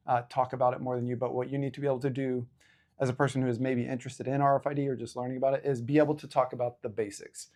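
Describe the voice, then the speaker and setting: A man in his forties presents an educational video series in a casual, conversational way. His voice sits at a medium pitch, and he explains things informally, with a self-deprecating touch. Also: darkish tone. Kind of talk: casual explainer talk to camera.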